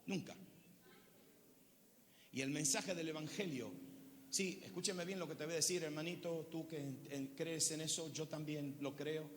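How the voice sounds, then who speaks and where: A pastor in his fifties preaching a sermon to a congregation, throwing questions at them and swinging from loud bursts to quiet, low lines. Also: fairly even intonation, normal speed, long pauses. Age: 50s. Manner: preaching with call-and-response questions, swinging from shouts to low tones. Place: large church hall. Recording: church hall reverb, faint noise.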